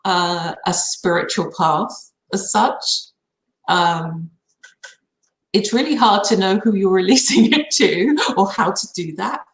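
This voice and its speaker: A woman's voice: medium pitch, expressive, slow pace. A woman chatting casually as a guest on an online call. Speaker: guest receiving a card reading. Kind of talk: casual chat. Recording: roomy, echoey online call.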